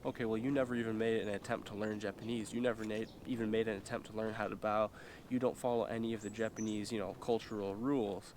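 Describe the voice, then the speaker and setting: Man, young adult, nasal from a cold, rambling, slangy, fairly low voice. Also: flat delivery, fast talker, a little mellow, slight background noise. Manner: rambling, filler-heavy, slangy. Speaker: vlogger. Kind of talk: casual storytelling vlog. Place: indoors, eating while talking.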